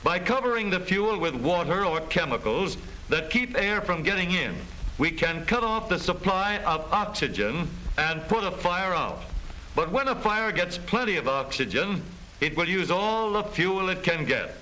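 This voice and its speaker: A man's voice: sits high, expressive, normal speed, bright, forceful tone. An American man reading scripted narration for a 1930s educational film in a formal, emphatic, explanatory manner. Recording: noisy vintage 1930s film soundtrack.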